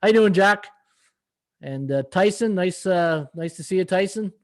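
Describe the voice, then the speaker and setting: A slightly hoarse middle-aged man hosting a live sports betting podcast, rambling through stats and viewer comments with many fillers. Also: high-pitched, normal speed. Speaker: podcast host and sports handicapper. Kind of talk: casual live-stream betting analysis.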